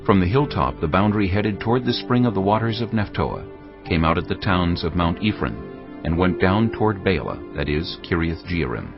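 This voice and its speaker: A man giving a measured, solemn scripted narration of scripture for an audio Bible. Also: deep voice, expressive, highs cut off. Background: prominent background music.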